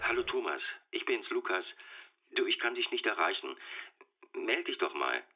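An actor playing a gentle, concerned male friend leaving casual, reassuring voicemail messages in a naturalistic radio drama. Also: on the low side, very animated, moderate pace. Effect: telephone answering machine filter. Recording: tinny, narrow phone answering machine.

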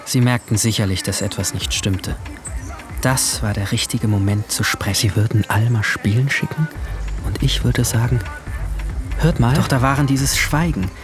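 A withdrawn seventeen-year-old boy acted by a man tells his story in a radio drama with clear music behind him. His voice sits at a middle male pitch, and he is introspective, brooding and short with words. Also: normal speed, close to an everyday voice. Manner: introspective, terse, awkward in dialogue. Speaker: narrator and main character. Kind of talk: first-person radio drama narration.

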